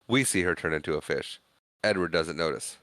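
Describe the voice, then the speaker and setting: A man's voice: low-pitched, fairly quick, fairly bright tone, dry recording, clean studio sound. A man co-hosting a podcast, retelling film scenes in casual chat, acting out the characters' quoted lines. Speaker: podcast co-host.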